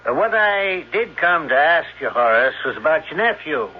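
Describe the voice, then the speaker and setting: A courteous small-town reporter in a radio play. His voice is a little higher than usual for a man, plain-spoken and sincere. The audio has the muffled sound of a vintage broadcast. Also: very animated, moderate pace, breathy edge. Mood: polite, inquiring. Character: polite, earnest small-town newspaperman, younger man. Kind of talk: old-time radio drama acting and narration. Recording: dull, band-limited old radio recording.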